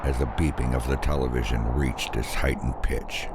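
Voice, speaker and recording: A man narrating a scripted first-person horror story in an ominous, deliberate way. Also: a bass voice, steady tone, heavy background noise.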